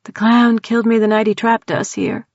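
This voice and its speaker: A woman voicing a frightened, tearful young woman with an East Texas accent, talking in a high-strung, rapid way. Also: little pitch movement, no room sound. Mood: frantic. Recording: dull dry audio.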